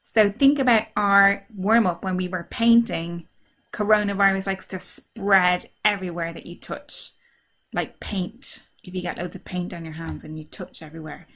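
A woman drama teacher reading a story aloud to children, asking them simple questions in an animated, child-directed way. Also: lowish voice, moderate pace. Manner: animated, simple child-directed talk, acting out feelings and sounds.

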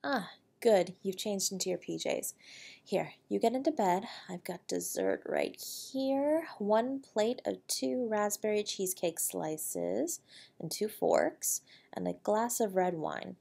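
A woman's voice: mid-range voice, very animated, takes it slowly, creaky, dark tone, husky, exaggerated voice. A woman playing a tender, protective wife in an intimate audio roleplay, soothing and affectionate as she comforts her husband. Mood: soothing, caring.

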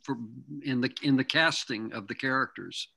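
An actor in his sixties asking a halting, searching question over a video call from his home. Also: mid-range voice, creaky, bright, forceful tone, no room sound, poor audio. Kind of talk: casual Q&A question. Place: home room.

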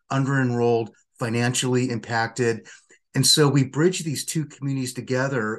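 An older man, a school board member, giving an earnest, upbeat prepared address from a home office over a webcam. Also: medium pitch.

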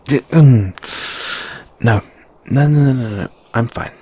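A British man voicing a shy, flustered lamia flatmate in an intimate audio roleplay, with stammering, hesitant, sighing delivery. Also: mid-range voice, unhurried, slightly rough. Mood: nervous, defensive.

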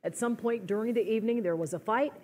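A woman anchoring the evening news in a TV studio, crisp and even. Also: on the high side, quick pace.

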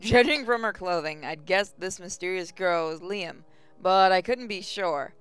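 A man's voice: high voice, measured pace, fairly bright tone, smooth, faint music behind. A man reading a game's text aloud and commenting sarcastically, swearing often. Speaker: let's play host.

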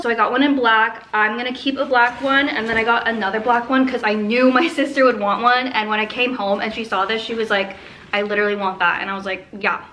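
A woman, chatting to camera in a gushing, excited, emphatic way, like a casual haul vlog filmed at home. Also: a little high, slight background noise. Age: 20s. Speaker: vlogger. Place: living room at home. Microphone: camera a short way in front of her.

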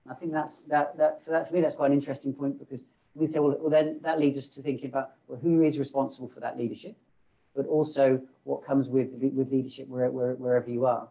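A middle-aged man talks quickly on a panel, often stumbling and repeating words as he goes. His voice is flat and mellow, and it comes through a lapel mic. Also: medium pitch, creaky, low-quality recording. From not South Africa. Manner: fast, stumbling with many repetitions. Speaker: business school panelist. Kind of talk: panel discussion. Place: indoor conference room.

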